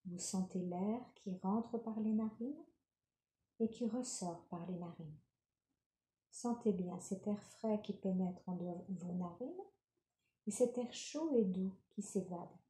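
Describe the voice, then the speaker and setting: Female meditation guide, very soft dark timbre, soothing and unhurried, recorded in a roomy space. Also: medium pitch. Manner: calm, unhurried, gentle instructing. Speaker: yoga nidra relaxation guide. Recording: roomy, reverberant sound.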